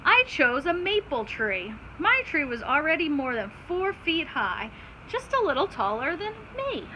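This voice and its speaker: A woman's voice: sits high, very animated, unhurried, sharp tone, rough recording. A woman teacher reading aloud to children, stopping to explain simply and put questions to her listeners. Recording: clear background noise.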